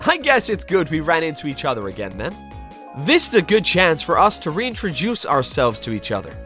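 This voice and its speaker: An actor as a musing, lively-pitched spirit detective. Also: brisk, soft background music. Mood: curious. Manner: reflective, polite, talking to themself. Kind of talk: game fandub narration and dialogue.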